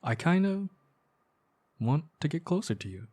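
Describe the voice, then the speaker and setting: A man's voice: fairly high pitch, sing-song, moderate pace, breathy edge. A young man playing a caring, earnest best friend in an intimate ASMR roleplay, talking in a casual, slightly hesitant, conversational way. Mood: hesitant, sincere. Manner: soft-hearted, hesitant, casual chatty phrasing.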